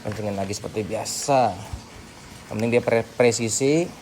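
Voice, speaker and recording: A man's voice: lowish voice, moderate pace, a little mellow. A man giving a casual hands-on tutorial, informally talking through each step as he works. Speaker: tutorial host. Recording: clearly noisy background.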